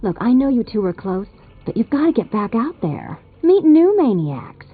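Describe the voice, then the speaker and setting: Lively, wry, nagging friend. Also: female voice, on the high side, normal speed, slightly rough. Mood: wry, coaxing. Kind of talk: video game dialogue. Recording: muffled, phone-like lo-fi sound.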